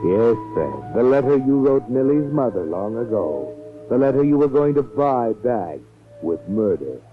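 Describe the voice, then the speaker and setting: A man's voice: medium pitch, big pitch swings, unhurried. A man playing a sardonic, ominous, all-knowing narrator of a 1940s radio mystery, taunting the culprit directly. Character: the Whistler, sardonic omniscient man narrating crime tales. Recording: tinny 1940s radio recording, music behind. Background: dramatic music.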